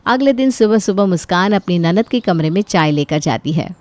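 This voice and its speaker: A woman's voice: fairly low pitch, expressive, normal speed, a little mellow, no echo. A woman giving scripted storytelling narration for a cartoon.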